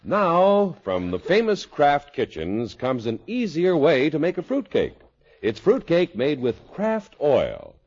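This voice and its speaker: Husky, very animated male radio announcer. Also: a little high, takes it slowly. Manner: polished, emphatic sales delivery. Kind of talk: 1950s radio advertisement, scripted. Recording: muffled old radio recording.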